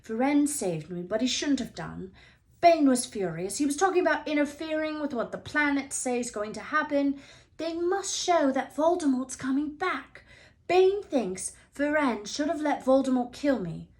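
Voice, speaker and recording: Woman playing a young boy wizard, anxious, excitable, urgent, raised pitch, storybook character. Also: normal speed. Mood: feverish, agitated. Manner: urgent, questioning.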